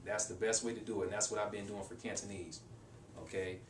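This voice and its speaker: A man's voice: flat delivery, fast, far from the mic. A young man giving casual, rambling advice in a language-learning vlog, with many restarts and fillers. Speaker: language-learning video maker. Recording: roomy echo, off-mic, faint rumble.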